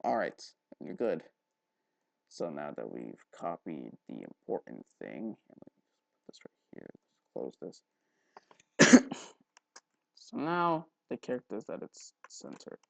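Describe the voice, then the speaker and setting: A man hosting a casual software tutorial, thinking aloud informally with mild exclamations. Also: rumbling low, huge pitch swings, takes it slowly, vocal fry, long pauses, no room sound. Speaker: tutorial host.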